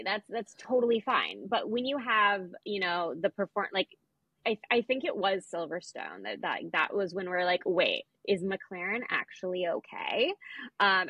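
A woman's voice: mid-range voice, a bit bright, breathy edge, no room sound, poor audio. A woman co-hosting a sports podcast, talking casually and making her opinions plain with emphasis. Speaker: podcast co-host.

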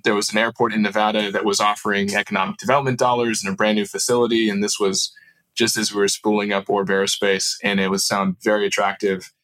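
A man tells personal stories as an interview guest, informal and earnest. His pitch is a little low and stays quite even. The recording is dry and free of room sound. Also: fast talker, no echo. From born in Southern California, grew up in Turkey. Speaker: podcast guest, aerospace founder. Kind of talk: casual personal storytelling in an interview.

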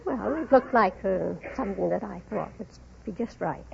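A woman's voice: mid-range voice, moderate pace, creaky. A middle-aged woman from London giving brief, plain answers as a quiz show contestant. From London, England. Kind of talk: casual interview answers. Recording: muffled old radio recording with audience noise. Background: studio audience.

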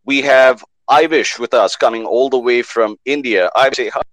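A man hosting a live online panel show, lively and fond of repeating words for emphasis. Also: medium pitch, normal speed, no room sound, poor audio. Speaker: host.

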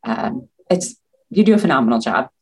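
A woman's voice: very deep voice, very animated, normal speed, darkish tone. A woman, a nursing leader, speaking as a guest on a video call, earnest and talking in long run-on thoughts. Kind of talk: casual interview chat.

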